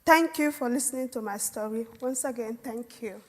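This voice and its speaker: A young woman slowly reading out a prepared personal statement of thanks. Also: a little high, background noise. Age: young adult. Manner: measured, careful reading. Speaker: speaker reading a testimony.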